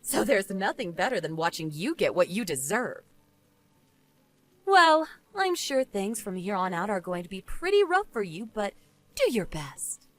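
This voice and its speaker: A woman's voice: mid-range voice, sing-song, unhurried. A woman playing a smug, vengeful wife and former bullying victim in scripted story dialogue, taunting and sarcastic. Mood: gloating.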